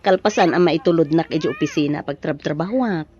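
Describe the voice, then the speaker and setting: A woman's voice: deep voice, lively intonation, fairly quick, a little mellow. A woman playing a reassuring married older sister in an Ilocano radio drama. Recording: dull sound, faint noise.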